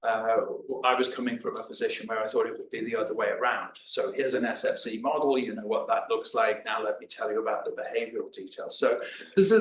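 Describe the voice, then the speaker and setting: A man's voice: mid-range voice, a bit bright. Man discussing a paper, far from mic. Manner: chatty, self-deprecating, restarts words. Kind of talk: conference discussion. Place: conference room. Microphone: away from the room microphone. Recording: roomy, distant mic, faint noise.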